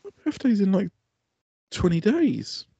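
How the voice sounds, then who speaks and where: A man's voice: high-pitched, wildly swooping pitch, measured pace, creaky, mellow, muffled recording, a little hiss or hum. A man in his thirties co-hosting a comedy podcast, blunt and sweary in casual joking chat. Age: 30s. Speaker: podcast co-host.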